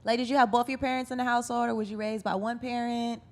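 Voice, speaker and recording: Brash, higher-voiced woman hosting casual radio. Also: little pitch movement, fast. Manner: brash, playful, slangy, swears freely. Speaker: radio show host interviewing an artist. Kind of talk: casual, flirty banter interview.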